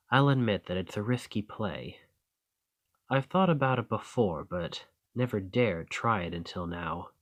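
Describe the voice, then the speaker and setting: A man playing a roguish bandit leader in an audio roleplay, confident and wry, with a folksy, teasing, drawling manner. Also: medium pitch. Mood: amused, conspiratorial. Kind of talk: scripted roleplay acting addressed to the listener.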